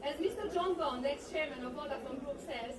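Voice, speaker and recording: A woman's voice: high voice, fairly quick. A young woman, an alumna, giving an earnest, formal prepared speech at a party, reading from notes. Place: large hall at a party. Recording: echoey hall, mic far off, loud crowd.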